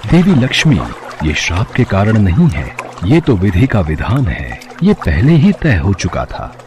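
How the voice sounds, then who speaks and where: A man voicing a calm preserver god in an animated devotional story, speaking gently and reassuringly. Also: on the low side, unhurried. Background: music.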